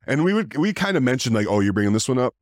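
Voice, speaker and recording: A man who hosts a podcast, talking casually in a deep voice, rambling with fillers and restarts. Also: big pitch swings, rapid-fire, no room sound, studio quality. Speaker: podcast host. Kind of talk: casual interview chat.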